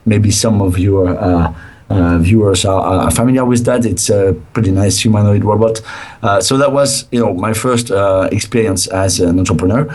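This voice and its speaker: Middle-aged developer guest, low voice, worn out and hesitant. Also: male voice, steady tone, moderate pace, darkish tone. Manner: hesitant, many fillers and restarts. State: tired, nearly lost his voice. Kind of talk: casual interview over a call.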